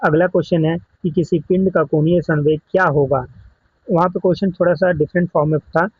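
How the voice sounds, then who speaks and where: Husky Indian male exam tutor, higher-pitched. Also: steady tone, brisk, dry recording, highs cut off. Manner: explanatory, mixes in English technical terms. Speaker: exam coaching teacher. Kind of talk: exam question walkthrough lesson.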